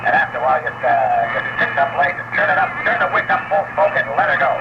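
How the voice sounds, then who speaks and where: A man's voice: a little high, fast, vocal fry. A man talking as an amateur radio operator in a folksy, rambling, mildly profane way, chatting casually over shortwave radio. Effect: shortwave radio transmission. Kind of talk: casual ham radio chat. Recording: noisy, muffled 1980 shortwave radio contact.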